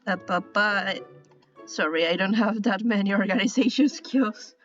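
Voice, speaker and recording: A woman's voice: mid-range voice, normal speed, fairly bright tone. A woman with a Spanish accent, a native Spanish speaker, explains a drawing style in a casual tutorial, hesitant, with many restarts and apologies. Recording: dull lo-fi sound with faint music.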